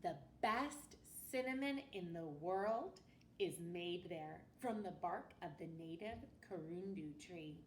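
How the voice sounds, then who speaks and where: A woman teacher reading a picture book aloud to children in an expressive way, often pausing to ask her listeners questions. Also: on the low side. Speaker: elementary school teacher. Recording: roomy, distant mic with faint noise.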